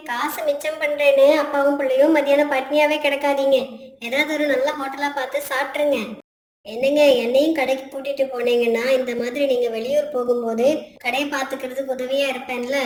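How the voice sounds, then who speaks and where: Cartoon family woman female actor: caring and outspoken, high-pitched, echoey room. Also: little pitch movement, a little fast, natural voice. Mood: caring, pleading. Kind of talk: cartoon moral story acting.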